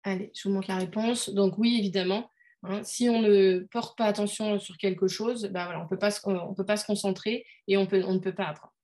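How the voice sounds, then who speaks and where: A woman runs an online workshop over a video call. She explains in a loose, conversational way, pausing often with fillers and restarting her sentences. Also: medium pitch, steady tone, darkish tone. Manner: hesitant, many fillers, conversational. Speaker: workshop leader.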